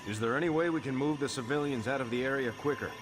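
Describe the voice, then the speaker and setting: An actor playing an earnest, resolute soldier hero in a video game cutscene, speaking directly and dutifully. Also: male voice, mid-range voice, fast, noisy background, close to an everyday voice. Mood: concerned. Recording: prominent music behind the voice.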